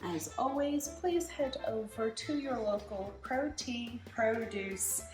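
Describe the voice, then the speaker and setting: An American woman in her forties presents a casual home cooking demonstration as host, speaking haltingly with pauses. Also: a little high. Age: 40s. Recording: roomy kitchen echo with music. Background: music, sizzling food and stirring.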